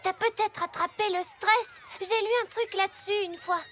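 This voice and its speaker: Very high chatty little schoolgirl. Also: fairly even intonation, normal speed, fairly bright tone, slight background noise. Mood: earnest. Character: chatty little schoolgirl, earnest helpful sidekick. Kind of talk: French children's cartoon voice acting. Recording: music behind the voice.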